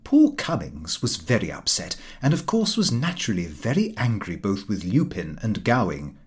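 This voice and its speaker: Expressive male narrator, lively intonation. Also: on the low side, normal speed, husky. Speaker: audiobook narrator. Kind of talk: scripted literary narration in diary form.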